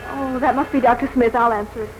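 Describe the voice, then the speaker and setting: An actress playing an anxious, devoted American wife and former nurse in a 1940s radio drama, speaking earnestly and pleadingly. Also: female voice, fairly high pitch, steady tone, fast, lightly acted. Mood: anxious, tender. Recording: muffled, slightly noisy 1940s radio recording.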